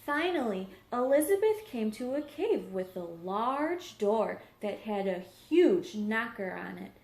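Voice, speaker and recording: Lady teacher doing storytime for little kids, slightly high voice, echoey room, slow and simple. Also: big pitch swings, darkish tone. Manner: simple explanations, checks in with young listeners. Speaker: teacher reading to young pupils. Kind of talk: read-aloud storytelling with questions to children. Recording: roomy, echoing home recording.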